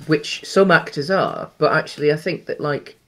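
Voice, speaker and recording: A man's voice: on the high side, expressive, normal speed, a bit bright. A man in his thirties co-hosting a casual film chat over a headset on a video call from home, rambling with many restarts and fillers. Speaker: podcast co-host.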